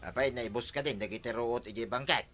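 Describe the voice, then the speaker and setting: A man's voice: medium pitch, fairly quick, a bit bright, soft background music, lightly acted. A man with an Ilocano accent acting a scheming, excuse-making neighbour in a radio comedy drama. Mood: defensive.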